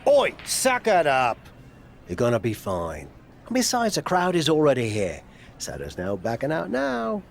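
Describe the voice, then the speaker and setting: An actor playing a wry British veteran wrestler and mentor, coaxing and teasing with dry humour in a video game cutscene. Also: male voice, on the high side, huge pitch swings, measured pace, creaky, slight background noise, full character voice. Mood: teasing, brisk. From United Kingdom.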